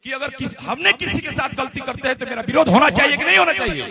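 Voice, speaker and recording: A man's voice: very high-pitched, very animated, fast talker, vocal fry. A man arguing in public, pressing his point with rhetorical questions. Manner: argumentative, asks rhetorical questions. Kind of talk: heated public argument. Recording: noisy crowd around the speaker. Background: crowd.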